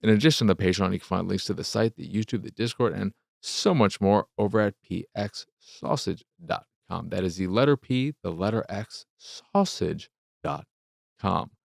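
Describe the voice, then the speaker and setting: A man with a low voice full of fry talks through a casual game review as host. He rambles, circles back to repeat his points and swears offhandedly. The sound is close and dry. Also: moderate pace, no echo.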